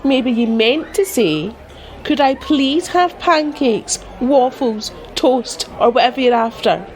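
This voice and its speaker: Snarky diner waitress, kinda high, lots of up and down. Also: female voice, lively intonation, slow, some chatter behind. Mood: teasing. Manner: teasing, cutting. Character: sharp-tongued female diner waitress. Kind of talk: radio drama acting.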